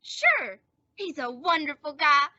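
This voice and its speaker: A very high, bright-voiced teenage boy, played by a woman in an old-time radio comedy. He is lovesick and boastful, stretching his words with theatrical self-importance. The dry recording is slightly dull. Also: very animated, no echo, full character voice. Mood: eager, confiding. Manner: drawn-out mock-dying groans and boyish bluster. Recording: dull, band-limited dry audio.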